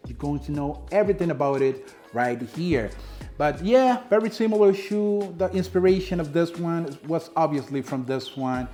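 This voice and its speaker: A young man with a Dominican Spanish accent and a voice pitched a bit high for a man. He chats casually to the camera, driving his points home by repeating them. Soft music sits faintly underneath. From Dominican Republic. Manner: chatty, repeats points for emphasis. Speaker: sneaker reviewer. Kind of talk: casual product review to camera.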